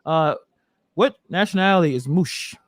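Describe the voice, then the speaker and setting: A man's voice: on the high side, animated, moderate pace, no room sound, clean studio sound. A man hosting a casual livestream interview, chatty and reading viewer messages aloud. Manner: chatty, laughs, reads chat aloud. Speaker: host of a livestream.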